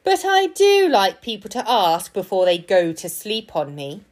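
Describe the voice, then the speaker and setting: A woman's voice: medium pitch, huge pitch swings, a little slow. A woman voicing a grumpy talking stone lion in a storybook, growly and pompous. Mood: mock indignant. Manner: pompous, indignant. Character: park keeper posing as grumpy stone lion.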